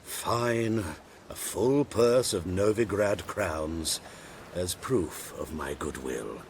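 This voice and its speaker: An actor playing an oily, shifty grain merchant in a video game, speaking in a formal, smug manner. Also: male voice, deep voice, takes it slowly, a little hiss or hum. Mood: smooth, haughty.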